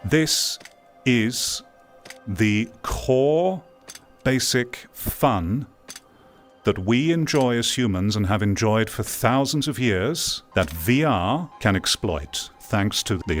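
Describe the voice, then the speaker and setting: A man giving opinion commentary on VR gaming in an emphatic, deliberate way, repeating phrases for weight. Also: lowish voice. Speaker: VR gaming video creator. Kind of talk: opinion commentary over gameplay. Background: music.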